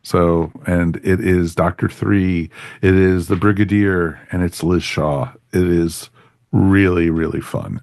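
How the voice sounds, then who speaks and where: Low-voiced man hosting a podcast. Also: steady tone, slow pace, a little mellow, no room sound, studio quality. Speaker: podcast host. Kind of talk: casual fan review chat.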